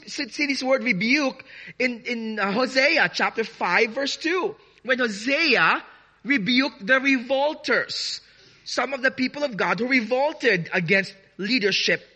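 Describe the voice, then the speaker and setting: A man preaching a sermon to his congregation, earnest and deliberate, with frequent restarts, drawing out key words. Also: extremely high pitch, pushed and bright. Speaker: pastor.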